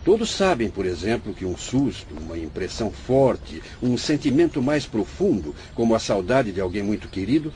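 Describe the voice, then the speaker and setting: A man's voice: on the low side, big pitch swings, mellow, slight background noise. A man with a Brazilian accent playing a venerable, patient old spirit doctor who explains solemnly, in a religious radio drama. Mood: solemn, instructive. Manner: didactic, measured, solemn explaining.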